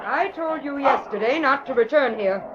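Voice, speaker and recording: A woman's voice: high-pitched, animated, moderate pace. An actress playing a stern, tight-lipped woman who gives curt, scolding commands in an old radio drama. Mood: cold, hostile. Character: gaunt, tight-lipped, hostile woman with guard dogs. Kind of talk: 1940s radio drama acting. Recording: old noisy radio recording, dull.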